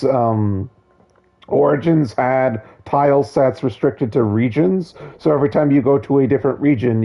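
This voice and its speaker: A hoarse man losing his voice, giving casual, opinionated, digressive commentary on a video game. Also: medium pitch, muffled recording. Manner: opinionated, digressive, many restarts.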